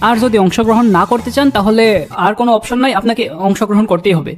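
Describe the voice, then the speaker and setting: A man's voice: high voice, quick pace. A young man with a Bangladeshi accent making comedic prank phone calls in a mock-earnest, teasing way. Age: young adult. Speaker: prank-calling YouTuber. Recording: music behind the voice.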